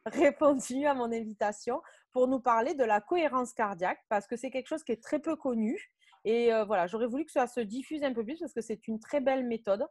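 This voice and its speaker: A woman hosting an interview opens by introducing her guest. She speaks hesitantly, with many fillers, in a somewhat high voice recorded dry and close. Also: a little fast, a little mellow, no room sound. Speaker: interview host.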